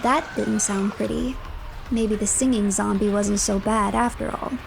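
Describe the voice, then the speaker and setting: A woman streamer narrating a video game playthrough as a third-person story, in a measured storyteller manner. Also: medium pitch, fairly even intonation, vocal fry, loud music behind the voice.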